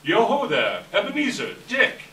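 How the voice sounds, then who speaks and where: A man's voice: wildly swooping pitch, normal speed, pushed and bright, full character voice. Man acting a jolly old master calling out across a hall. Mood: jovial, commanding. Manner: booming, jolly calls to his lads. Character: jovial, hearty old warehouse master Fezziwig, rich-voiced. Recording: echoey hall from far off, faint noise.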